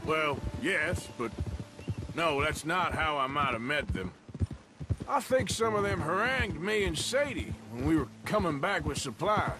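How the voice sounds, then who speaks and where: A man's voice: on the high side, very animated, a little slow, background noise. An actor playing a cautious, dry-humored male outlaw in a game cutscene, with a Western drawl. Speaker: game character. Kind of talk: cutscene voice acting. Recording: game audio with music under it.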